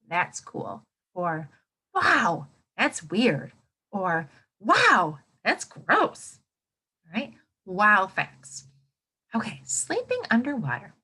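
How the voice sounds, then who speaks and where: A woman teacher reading a nonfiction book aloud to children, expressively, thinking out loud and putting questions to her listeners. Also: mid-range voice, very animated, takes it slowly, pauses often, husky. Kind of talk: interactive children's nonfiction read-aloud lesson.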